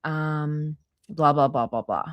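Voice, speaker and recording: Lower-voiced woman hosting a casual livestream. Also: slow pace, dry recording. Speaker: livestream host. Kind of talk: casual reaction livestream, reading a transcript aloud with asides.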